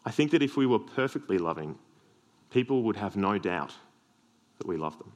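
An Australian man with a deep voice preaching a sermon, earnest with self-deprecating humorous asides. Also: animated, normal speed.

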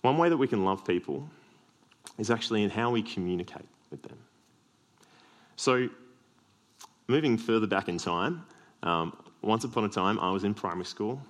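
An Australian man with a deep voice preaching a sermon, earnest with self-deprecating humorous asides. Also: lively intonation, moderate pace, pauses often.

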